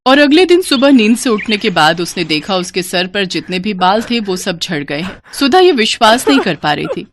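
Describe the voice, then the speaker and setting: A woman's voice: mid-range voice, very animated, moderate pace, a little hiss or hum. A woman narrator reading a scripted children's story.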